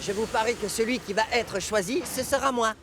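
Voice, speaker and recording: A man's voice: high-pitched, fairly quick, background noise. A man voicing a cocky, vain, boastful cartoon locomotive with a teasing, showy manner. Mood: mocking. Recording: music behind the voice.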